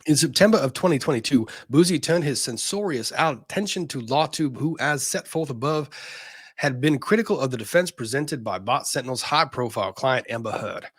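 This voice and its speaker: A man with a husky, slightly rough voice at a middle pitch, recorded dry and close. He reads formal legal text aloud with dramatic emphasis, stepping out of it for pointed asides as a commentary show host would. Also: animated, brisk, dry recording. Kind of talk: dramatic reading of a lawsuit with asides.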